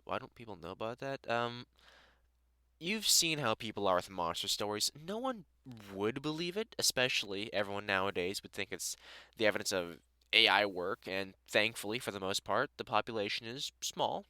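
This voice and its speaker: A man's voice: lowish voice, very animated, normal speed, sharp tone, clean tone, no echo, heavily acted. A British man playing a dry, sarcastic vampire hunter in a conversational audio roleplay, chatting informally to the listener. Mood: wry, explanatory. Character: gruff, sardonic but welcoming male vampire hunter.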